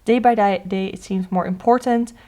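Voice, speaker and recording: A woman's voice: mid-range voice, fairly even intonation, normal speed, warm tone. Chatty woman tarot reader. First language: Dutch. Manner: chatty, thinking aloud with frequent "um" and "uh". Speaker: tarot reader, channel host. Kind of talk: casual deck flip-through vlog.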